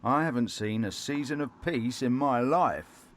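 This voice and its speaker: A man's voice: medium pitch, unhurried, natural voice. A man playing a war-weary, pious, loyal castle guard in a medieval video game, speaking earnestly. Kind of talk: video game character acting.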